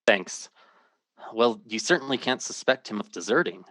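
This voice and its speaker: An actor playing an anxious, determined family man searching for his brother in a radio drama, speaking in an earnest, halting, questioning way. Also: fairly low pitch, fairly bright tone, dry recording, close to an everyday voice. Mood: puzzled, searching. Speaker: protagonist and narrator.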